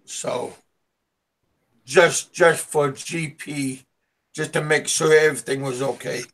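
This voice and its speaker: A man recounting a story in casual chat, halting with many ums and restarts. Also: on the high side, fairly even intonation, a bit bright. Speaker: guest.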